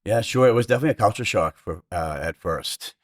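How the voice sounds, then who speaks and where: Low-voiced Boston man, fast talker. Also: lively intonation, no room sound, clean studio sound. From Massachusetts, south of Boston. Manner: fast-talking, lots of fillers. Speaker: restaurant owner, guest. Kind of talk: casual interview chat.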